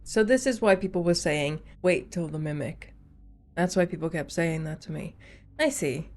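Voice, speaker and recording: A woman's voice: low voice, moderate pace, a little mellow. A woman reacting casually as a video host, with a playful, jokey, slangy way of talking.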